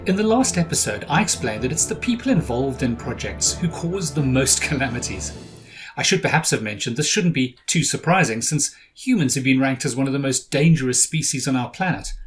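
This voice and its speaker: A middle-aged man from South Africa hosting a podcast, narrating from a script with dry humor. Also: medium pitch. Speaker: podcast host.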